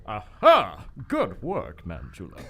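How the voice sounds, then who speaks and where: A man's voice: fairly low pitch, wildly swooping pitch, slow pace, vocal fry, a bit bright, heavily acted. Pompous, wildly swooping prince explorer played by a man. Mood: commanding, assured. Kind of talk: old-time adventure radio acting. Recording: live stage recording, some background noise.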